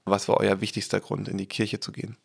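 A man, a pastor, hosting a church podcast and interviewing guests in a friendly, measured way. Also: fairly low pitch, flat delivery, gravelly, breathy edge. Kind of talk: scripted podcast intro and interview questions.

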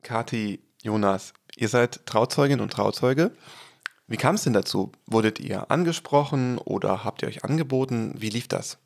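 A man, a pastor, hosting a church podcast and interviewing guests in a friendly, measured way. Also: medium pitch, gravelly. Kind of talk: scripted podcast intro and interview questions.

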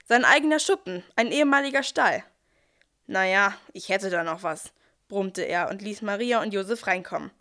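A woman playing the archangel Gabriel as a brisk, chatty manager, narrating a nativity radio play straight to the listeners. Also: mid-range voice, bright, forceful tone. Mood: matter-of-fact, chatty.

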